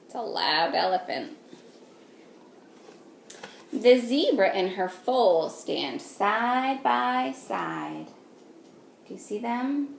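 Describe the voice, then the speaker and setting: A woman teacher reading a picture book aloud to young children, patiently, in a sing-song way, pausing to ask them simple questions. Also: medium pitch, animated, creaky. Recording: echoey room with faint noise.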